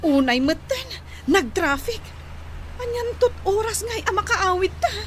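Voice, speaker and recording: An actress with an Ilocano Filipino accent playing an exasperated wife who nags about money in a comedy drama. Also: female voice, very high-pitched, very animated, unhurried, soft background music, heavily acted. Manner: complaining, exasperated. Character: nagging exasperated wife fretting over household spending.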